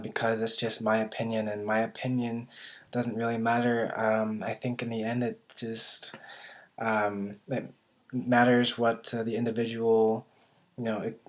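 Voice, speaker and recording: A man's voice: mid-range voice, a little mellow. A young man from the United States talking casually to camera about learning languages, hesitant and thinking aloud with many restarts. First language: English. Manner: thinking aloud, self-correcting. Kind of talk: casual unscripted talk to camera.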